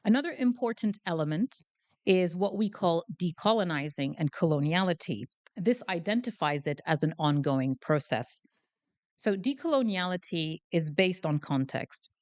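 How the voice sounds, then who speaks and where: A woman's voice: fairly low pitch, animated, normal speed, a little mellow. A woman giving a guest lecture in a hall, chatty and self-deprecating, with many fillers, speaking into a handheld mic. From Canada. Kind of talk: academic lecture. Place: lecture hall before a live audience.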